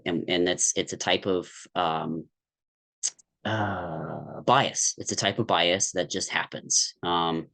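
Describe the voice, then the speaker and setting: A man's voice: low-pitched, fairly bright tone. A man talking candidly as a podcast guest, thoughtful and rambling, with many restarts and fillers. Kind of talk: candid conversational podcast talk.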